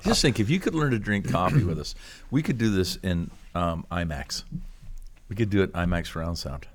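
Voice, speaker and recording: A man's voice: low-pitched, expressive, moderate pace, slight background noise. A man hosting a talk podcast with casual, jokey, enthusiastic banter. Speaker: podcast host.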